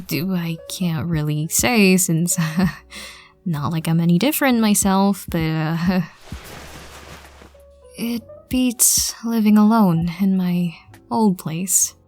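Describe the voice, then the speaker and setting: A woman's voice: fairly low pitch, takes it slowly, darkish tone, faint music behind. A woman narrating a fan audio drama and voicing the listener's part, stammering and laughing self-consciously.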